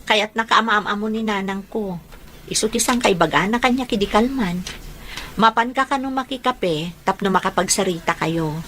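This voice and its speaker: A woman playing a shy young adult daughter in an audio drama, gently protesting. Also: mid-range voice, moderate pace, a little hiss or hum, close to an everyday voice. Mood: matter-of-fact.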